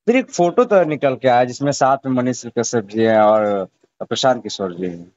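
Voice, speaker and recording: A man's voice: expressive, moderate pace, darkish tone, dry recording. A man conducting a phone interview, putting persistent questions in a deferential way on a recorded call. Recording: muffled low-bandwidth phone call recording.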